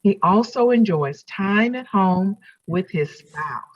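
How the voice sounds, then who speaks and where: A woman with a slightly husky voice, informally hosting a video call as she would introduce a guest. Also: mid-range voice, lively intonation, slow pace. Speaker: host introducing a guest reader. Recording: muffled, dull video call audio.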